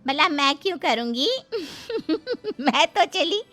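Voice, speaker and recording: A woman puts on a spiteful, gloating older schemer from a cartoon family drama. The voice is high and sharp, drawn out slowly for effect. Faint music sits behind it. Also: big pitch swings, heavily acted. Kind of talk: melodramatic cartoon story voice acting.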